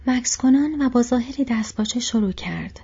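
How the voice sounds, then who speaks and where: A woman narrating an audiobook in a whispery, soft, hushed reading style. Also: fairly high pitch, lively intonation, creaky, husky. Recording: dull lo-fi recording.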